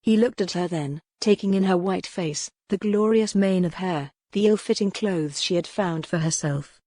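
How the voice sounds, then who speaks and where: A woman's voice: on the low side, moderate pace, mellow, no room sound, studio quality. A woman reading scripted, dramatic narration as the narrator of a historical romance audiobook.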